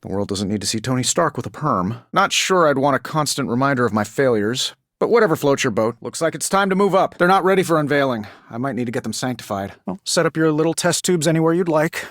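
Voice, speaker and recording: An actor in a video game playing a cocky, quick-witted billionaire inventor superhero with a sardonic, glib, conversational delivery. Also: male voice, mid-range voice, animated, fairly bright tone, dry recording, clean studio sound, lightly acted. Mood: wry, flippant.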